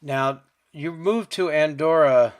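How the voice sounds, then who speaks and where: A man's voice: fairly high pitch, takes it slowly, smooth. A man hosting a podcast interview, asking friendly, conversational questions and checking facts as he goes. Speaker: podcast host.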